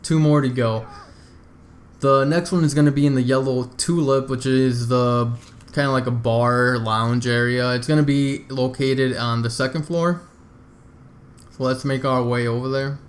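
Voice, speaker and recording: A man's voice: medium pitch, steady tone, unhurried. A man giving a casual, step-by-step video game walkthrough, talking informally and directly to his viewers. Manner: informal, addresses viewers as "you guys".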